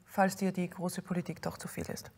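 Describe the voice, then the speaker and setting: A woman's voice: fairly low pitch, flat delivery, brisk, a little mellow, close to an everyday voice. An actress playing a commanding, cutting, impatient imperial mother in a historical docudrama, recorded in a reverberant, slightly noisy hall. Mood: stern, sardonic.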